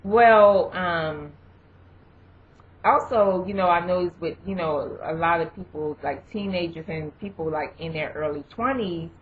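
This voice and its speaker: Brief: young adult woman, lowish voice, rambling advice vlog, muffled lo-fi recording. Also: measured pace. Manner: rambling, leans on "you know" and "um". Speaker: vlogger. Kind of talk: casual advice chat. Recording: dull lo-fi recording.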